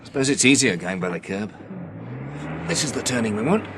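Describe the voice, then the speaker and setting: An actor playing a cautious, pragmatic survivor of a catastrophe in a radio drama, speaking firmly and matter-of-factly. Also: male voice, mid-range voice, animated, a little fast, background noise, close to an everyday voice. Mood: practical. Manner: matter-of-fact, firm. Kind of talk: radio drama acting and narration.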